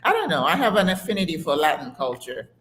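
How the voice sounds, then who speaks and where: An older woman from Haiti, a retired nurse, telling stories in a relaxed, casual conversation with many fillers. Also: low-pitched, fast, slight background noise.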